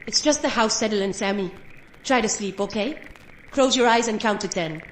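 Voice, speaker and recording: A woman playing a gentle, protective mother in an audio drama. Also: mid-range voice, normal speed, a little hiss or hum, close to an everyday voice. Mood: tender, soft. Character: gentle, protective Southern mother, quietly uneasy.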